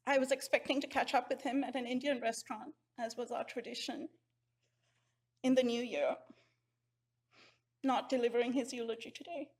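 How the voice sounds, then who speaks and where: A woman's voice: fairly high pitch, fairly even intonation, normal speed, long pauses. A woman from Sri Lanka delivering a heartfelt, grieving eulogy. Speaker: eulogy speaker. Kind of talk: memorial eulogy.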